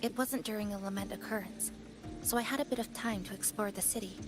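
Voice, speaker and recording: A woman playing a calm, thoughtful young heroine in a video game, speaking gently and in measured phrases. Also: mid-range voice, lightly acted. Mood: reflective, somber. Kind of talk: game character acting. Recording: background music over the voice.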